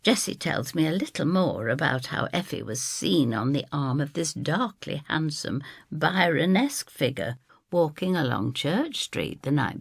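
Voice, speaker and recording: A woman's voice: sits low, gravelly, husky, dry recording, studio quality, exaggerated voice. An actress playing a stubborn, loyal, chatty older Northern English woman who tells her story in an audio drama. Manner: chatty, gossipy, blunt Northern phrasing.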